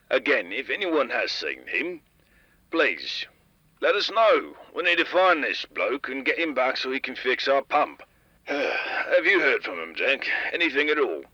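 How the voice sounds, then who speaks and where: A man's voice: mid-range voice, big pitch swings, a little slow, bright, forceful tone, husky, dry recording, dull audio, exaggerated voice. A man playing a stern, exasperated, dutiful security chief making announcements in a radio drama. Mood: concerned. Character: gruff, dutiful male town security chief. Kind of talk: scripted radio audio drama, public announcement.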